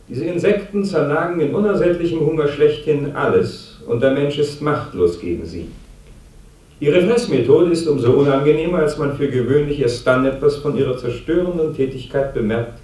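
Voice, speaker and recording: Solemn male radio announcer, dark voice, formal and measured, heavily echoing from a distant set. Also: medium pitch. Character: formal radio announcer reading a grave insect lecture. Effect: voice from a radio set within the play. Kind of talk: mid-century radio announcing and lecture.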